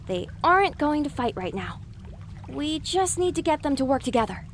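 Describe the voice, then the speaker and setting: A woman's voice: high voice, sing-song, normal speed, slight background noise. A woman playing an anxious young female pony in an audio drama, sarcastic and easily panicked.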